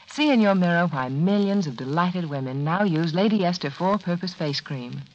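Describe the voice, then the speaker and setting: Low-voiced, lilting 1940s radio spokeswoman. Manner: polished, instructive. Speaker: beauty advertiser spokeswoman. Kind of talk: scripted 1940s radio commercial. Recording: dull lo-fi old radio recording.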